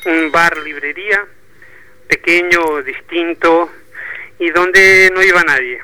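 A Portuguese man speaking Spanish as a radio guest, thoughtful and halting, often repeating words as he searches for them. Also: on the high side, pushed and bright, smooth, low-quality recording. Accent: Portuguese speaking Spanish, with a touch of Argentine Spanish. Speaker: poetry bookshop owner, guest. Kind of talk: radio interview.

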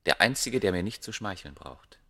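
An actor playing a proud, obsessive ancient scholar, speaking gravely and declaiming in a German radio play. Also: male voice, deep voice, expressive, bright, forceful tone, no room sound, studio quality, exaggerated voice. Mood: confiding, proud. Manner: grave, declamatory, deliberate phrasing.

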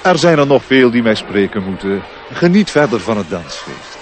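A man's voice: lowish voice, big pitch swings, normal speed. Stately elf king, sweeping intonation. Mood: regal warmth. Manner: solemn, ceremonious, grand. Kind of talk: Dutch radio play acting. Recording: noisy old radio play recording.

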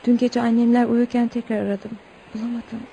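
Casting: loving young heroine for radio theatre, steadfast, earnest, mellow fairly high voice. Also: female voice, flat delivery, brisk, gravelly. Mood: tender. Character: devoted, steadfast young girl in love. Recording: dull, faintly noisy radio recording.

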